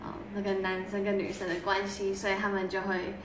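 A young woman being interviewed as a vocal student, answering softly in a casual way with brief fillers. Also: medium pitch, completely flat delivery, fast, noisy background. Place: living room, on a sofa. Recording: echoey room with music behind.

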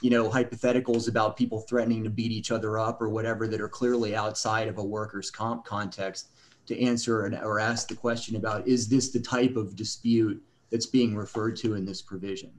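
A man's voice: lowish voice, little pitch movement, fairly quick, slight background noise. A middle-aged man serving as a judge, questioning an attorney at oral argument in a probing, conversational way. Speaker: appellate judge. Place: courtroom bench, indoors.

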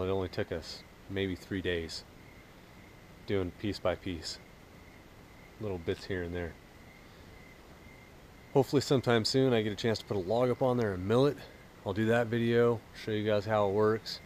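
A man in his thirties talks through a build in a relaxed, easygoing way, his voice sitting on the low side. He is outdoors in a wooded yard, recorded on a camera held close. A faint hiss sits under the recording. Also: moderate pace, long pauses. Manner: relaxed, explanatory, joking. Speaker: vlogger. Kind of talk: casual walkthrough.

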